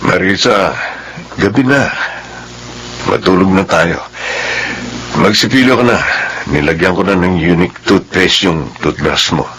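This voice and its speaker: An actor playing a gentle, resolute middle-aged father in a Filipino radio drama, speaking in a measured, sincere way. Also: sits low, slight background noise, low-quality recording. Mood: gentle, sombre.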